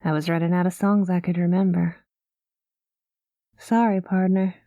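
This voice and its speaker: A woman voicing a kind, easygoing space cowgirl pilot in an ASMR roleplay, talking gently in a folksy cowboy manner. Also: fairly low pitch, little pitch movement, normal speed, dry recording, clean studio sound, natural voice. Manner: folksy drawling cowboy talk, calls listener partner; gentle and soothing.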